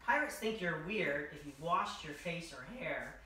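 Bright-voiced young story time host, echoey room. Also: mid-range voice, animated, unhurried. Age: young adult. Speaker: host of a children's story time, reading a picture book. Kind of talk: read-aloud children's storytelling in costume as a king. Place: large furnished room indoors. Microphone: distant camera microphone. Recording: echoey room, distant mic, faint noise.

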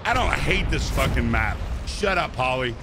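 A man's voice: medium pitch, lively intonation, moderate pace, noisy background. A man in his thirties live-streaming a game, bantering crudely with chat and swearing often. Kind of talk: casual live-stream chat while gaming. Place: indoor room at a gaming chair.

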